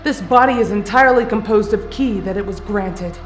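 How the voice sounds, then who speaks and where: A woman's voice: medium pitch, close to an everyday voice. Woman playing a vengeful warrior, echoey voice. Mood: accusing, vengeful. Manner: deliberate, accusing. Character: vengeful young female warrior, cold and resolute. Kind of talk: anime fan drama voice acting. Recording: echoey voice with faint music.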